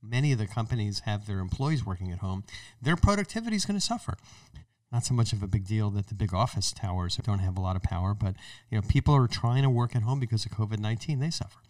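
A man's voice: lowish voice, no echo, recorded from a distance. An American man hosting an explanatory talk show in an emphatic, conversational way. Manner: emphatic, conversational, acts out imagined remarks. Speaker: podcast host. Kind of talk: explanatory radio-style talk.

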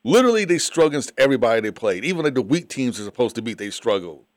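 A man's voice: mid-range voice, sing-song, racing, no room sound, studio quality. A man on a sports betting panel, blunt and teasing, laughing through his jokes. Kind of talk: casual sports talk.

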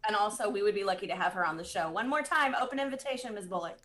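A woman's voice: medium pitch, racing, fairly bright tone. A woman co-hosting an opera talk show, chatting in a playful, bubbly way. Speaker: co-host. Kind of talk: casual chat.

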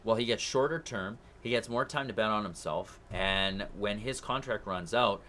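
Bright-voiced guy chatting hockey. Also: on the low side, moderate pace, a little hiss or hum. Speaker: podcast co-host. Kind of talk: casual hockey chat.

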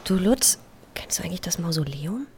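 A woman's voice: fairly low pitch, expressive, brisk, natural voice. A woman playing a polite, flustered and curious villager in a comic audio drama, with a noisy recording. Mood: apologetic.

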